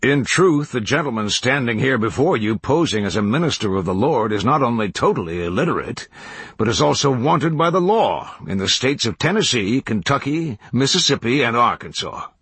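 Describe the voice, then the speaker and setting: A man's voice: medium pitch, lively intonation, fairly bright tone, slightly rough, no room sound. A man putting on a huge, grandiloquent frontier orator. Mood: solemn, declamatory. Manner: formal, oratorical, deliberate. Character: huge bald man, serene, grandiloquent public accuser.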